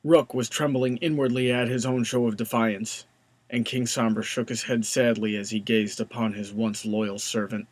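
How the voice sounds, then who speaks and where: A man's voice: mid-range voice, steady tone, moderate pace, dry recording. A man narrating a fan fiction story in a scripted storybook style.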